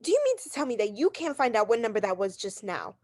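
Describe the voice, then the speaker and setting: A woman's voice: mid-range voice, very animated, fast talker, a little mellow. A woman acting a neurotic, demanding, haughty invalid wife in a radio mystery drama, agitated and insistent. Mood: exasperated, demanding.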